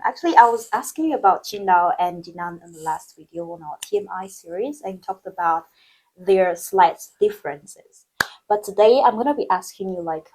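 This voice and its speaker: Husky-voiced chatty woman vlog host. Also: mid-range voice, darkish tone, low-quality recording. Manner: chatty, upbeat, addresses viewers directly. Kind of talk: casual interview chat. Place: indoors in China.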